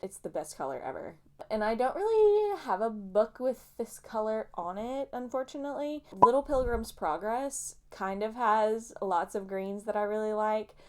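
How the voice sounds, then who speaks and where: A young woman rambles through a book vlog in a loose, self-mocking way and keeps wandering off topic. Her voice is smooth and clean, with a slight nasal, sniffly edge from allergies. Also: a little high, expressive, moderate pace. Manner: chatty, self-mocking, goes off on tangents. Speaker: booktuber.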